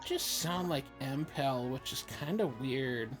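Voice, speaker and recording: A woman's voice: rumbling low, takes it slowly, soft background music. A woman chatting casually as she plays a video game and comments on it. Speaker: game player. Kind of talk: casual gameplay chat.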